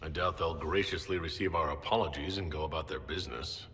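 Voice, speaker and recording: An actor playing a self-assured fantasy hero and leader in a video game, assertive and dryly sardonic. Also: male voice, rumbling low, a little fast, fairly bright tone. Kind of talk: dramatic video game dialogue. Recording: reverberant hall, faint music.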